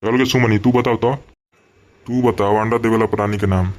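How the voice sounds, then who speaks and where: A man's voice: on the low side, fairly even intonation, moderate pace, a little mellow, natural voice. A man voicing an exasperated, coarse, teasing village schoolteacher with a Bhojpuri accent in a cartoon comedy. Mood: questioning. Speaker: voice actor.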